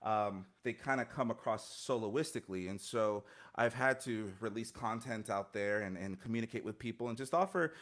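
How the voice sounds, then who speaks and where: A man's voice: fairly low pitch, normal speed, hardly any pauses, fairly bright tone. A man in his thirties, a guest musician, answering interview questions earnestly and reflectively over a video call from a home studio mic, with many fillers and restarts. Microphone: studio mic on stand, headphones, video call.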